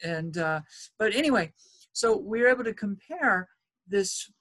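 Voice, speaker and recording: A woman archaeologist as an interview guest, explaining her research in a measured way with some hesitations. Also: mid-range voice, expressive, fairly bright tone. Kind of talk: expert interview answers.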